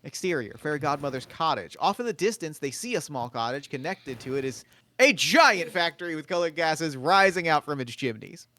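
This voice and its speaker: Man, slightly high pitch, scripted narration, stage directions, table read. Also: lively intonation, brisk, bright, forceful tone.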